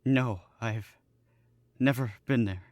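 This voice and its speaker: A man's voice: mid-range voice, takes it slowly, dry recording, highs cut off, natural voice. An actor playing a nervous, gloomy young man in an old-time radio drama, hesitant and evasive.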